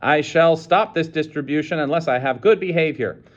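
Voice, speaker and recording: A man voicing a fussy male official handing out pills, brisk and coaxing. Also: a little high, pitched above the performer's own voice, lightly acted. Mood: stern. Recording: dull lo-fi recording.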